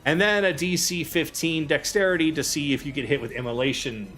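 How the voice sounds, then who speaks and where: A man with a slightly high voice narrates a tabletop fantasy game as its game master. His delivery is casual and descriptive, with music faintly behind him. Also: brisk, fairly bright tone.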